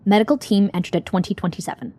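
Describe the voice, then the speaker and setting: A woman's voice: medium pitch, fairly even intonation, fast talker, mellow, close to an everyday voice. A woman acting in an audio drama as a guilt-ridden young woman searching for her missing sister. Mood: clinical, reading aloud.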